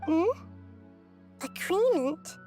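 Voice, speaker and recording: A woman voicing a young girl goddess in a game, gentle, apologetic and reassuring, with a soft, high childlike voice. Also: big pitch swings, very slow, music in the background, full character voice. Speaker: game character. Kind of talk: dubbed game dialogue.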